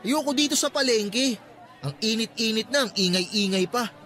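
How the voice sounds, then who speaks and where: A man's voice: high voice, normal speed, sharp tone, some chatter behind. A man playing a sulky, complaining schoolboy in a radio drama, whining and grumbling over a music bed. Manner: whiny, grumbling. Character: sulky, complaining Filipino boy, a vendor's schoolgoing son.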